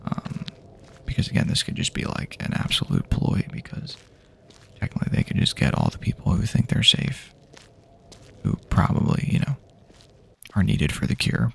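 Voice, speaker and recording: Male ASMR performer as weary wasteland survivor: breathy, heavy fry, pitch drifting widely, halting improvised delivery, some background noise. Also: huge pitch swings. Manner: hesitant, rambling, trailing off. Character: weary, wary but reassuring male survivor of an apocalypse. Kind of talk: soft-spoken ASMR roleplay, improvised.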